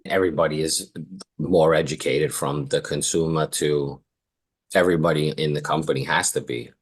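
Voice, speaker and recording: A man's voice: extremely low pitch, steady tone, normal speed, breathy edge. A man hosting a podcast, casually sharing anecdotes from his business in an informal storytelling manner.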